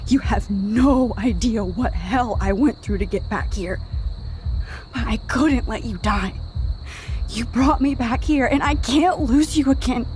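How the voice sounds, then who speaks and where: A woman's voice: fairly high pitch, darkish tone, slight background noise, lightly acted, low-quality recording. A young American woman playing an anxious, earnest teenage girl in a dramatic video game, speaking in a hesitant, pleading way. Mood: grave, distressed. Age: young adult. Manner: hesitant, halting, emotionally pleading.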